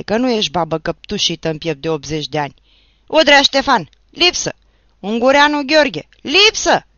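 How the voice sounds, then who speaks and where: A reader voicing a hot-tempered young village teacher who barks roll-call names and marching orders at full shout. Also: mid-range voice, dry recording, dull audio. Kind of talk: audiobook narration.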